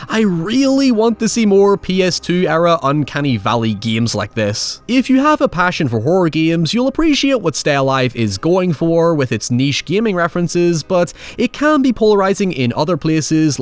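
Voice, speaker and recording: A man's voice: fairly high pitch, very animated, moderate pace, hardly any pauses. A man hosting a film review show, reading scripted narration with casual asides in a wry, conversational manner.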